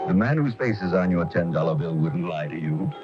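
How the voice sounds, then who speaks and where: A man's voice: sits low, lively intonation, brisk, music in the background. A man reading a scripted radio public service announcement. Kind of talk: brisk scripted radio ad read. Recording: dull old AM radio tape.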